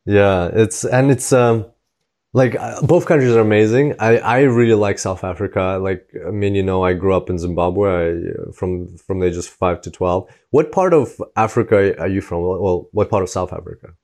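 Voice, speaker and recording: A man's voice: low voice, normal speed, a little mellow. A man hosting a podcast, introducing his guest with enthusiastic, filler-filled talk. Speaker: podcast host.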